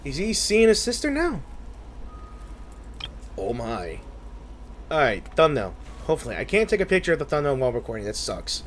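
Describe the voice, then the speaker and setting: A man's voice: on the high side, wildly swooping pitch, normal speed, long pauses, a little hiss or hum. A man hosting a gaming video with casual, spontaneous reaction commentary. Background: faint game music.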